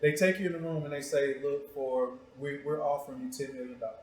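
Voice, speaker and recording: A man's voice: on the high side, steady tone, fast talker. Male talk show host in an echoey room. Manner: informal, teasing, slangy. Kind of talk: casual, joking interview talk.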